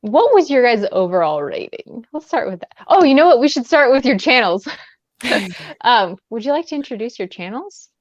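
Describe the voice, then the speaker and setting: A woman's voice: a little high, big pitch swings, moderate pace, no room sound. A woman hosting a casual livestream book chat in a chatty, informal way. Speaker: livestream host. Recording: dull, lo-fi livestream audio.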